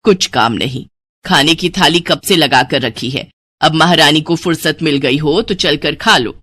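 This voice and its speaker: A woman voicing a strict middle-aged mother who nags and grumbles in a lowered voice. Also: measured pace, fairly bright tone, no echo. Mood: grumbling. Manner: nagging, grumbling.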